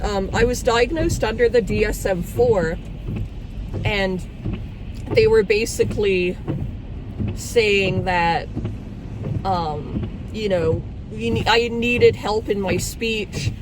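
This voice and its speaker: A woman's voice: mid-range voice, takes it slowly, long pauses. A young woman from Scotland telling her own story in a casual vlog, hesitant, with many "um"s and restarts, speaking into a phone while in a car in traffic. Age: young adult. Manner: hesitant, frequent "um" and "basically", self-correcting. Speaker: vlogger. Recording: loud road and traffic noise in a car. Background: vehicle and traffic noise.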